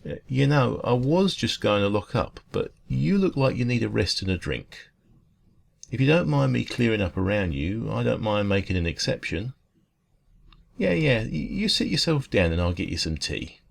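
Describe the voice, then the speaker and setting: A man playing a friendly, caring dragon who runs a cosy café, talking gently and reassuringly in an ASMR roleplay. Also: medium pitch, sing-song, moderate pace, creaky, heavily acted. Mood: concerned, welcoming.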